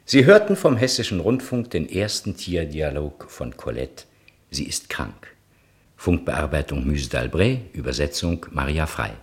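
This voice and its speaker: Man, extremely low voice, formal radio announcer, lively intonation, violin music behind, 1960 broadcast. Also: unhurried. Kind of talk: formal scripted credits announcement.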